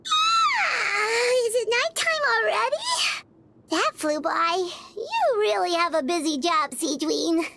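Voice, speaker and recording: A woman's voice: very high voice, wildly swooping pitch, takes it slowly, creaky, bright, forceful tone, full character voice. A woman voicing a tiny, chirpy, curious floating companion in a video game, blunt in her remarks. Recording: game dialogue with background music.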